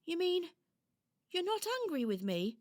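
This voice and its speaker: A woman's voice: extremely high pitch, sing-song, unhurried, a little mellow, exaggerated voice. A woman voicing a timid, apologetic little toy in a children's radio play, earnest and hesitant.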